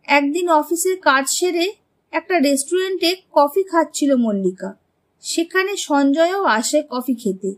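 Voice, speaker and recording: A woman narrating a scripted animated story as its storyteller. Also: high voice, unhurried.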